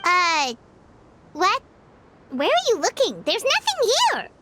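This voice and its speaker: A woman voicing a small, excitable fairy-like companion in a video game cutscene, with a jumpy, nervous manner and game music behind her. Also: slow, full character voice. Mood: confused. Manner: jumpy, high and nervous, talks about herself in third person. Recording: game score playing behind.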